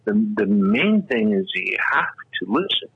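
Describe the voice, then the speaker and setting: A man's voice: fairly low pitch, sing-song, measured pace, vocal fry, breathy edge, dry recording. A man in his sixties, a radio talk show host, telling stories in a rambling, casual way full of fillers and restarts. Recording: dull, lo-fi radio audio.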